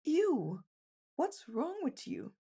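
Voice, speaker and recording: A woman's voice: sits high, wildly swooping pitch, extremely slow, warm tone, exaggerated voice. A woman playing a shy, skittish stray bunny girl in an ASMR roleplay, speaking in a hesitant, easily flustered way. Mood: puzzled, put off.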